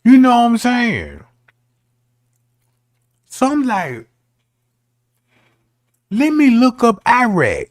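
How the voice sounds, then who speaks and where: A man's voice: very high-pitched, huge pitch swings, slow pace, pauses often, no echo, clean studio sound. A man giving casual commentary as a streamer, talking in a slangy, argumentative way.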